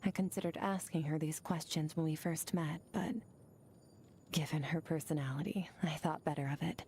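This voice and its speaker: An actress playing an aloof, stoic young swordswoman in a video game, speaking in a cool, measured, detached way. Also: deep voice, steady tone, darkish tone, slight background noise, natural voice. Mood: calm, reflective. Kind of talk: scripted video game character monologue. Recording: game audio with music underneath.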